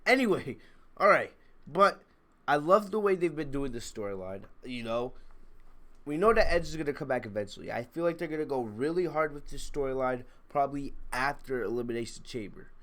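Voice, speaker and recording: A man's voice: mid-range voice, lively intonation, fairly quick, a bit bright. A man with a congested, under-the-weather voice giving blunt, emphatic, opinionated commentary as a casual livestream host. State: congested, sick with a cold. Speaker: wrestling review livestream host. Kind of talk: casual live commentary, chatting to viewers.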